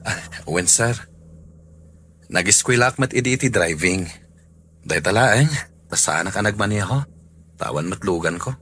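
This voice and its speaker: A man's voice: sits low, animated, a little slow, pauses often, bright, forceful tone, a little hiss or hum, exaggerated voice. A man acting an earnest, lovestruck young man in a radio drama, with an Ilocano accent and a tender, pleading manner. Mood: humble. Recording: radio drama with music underneath.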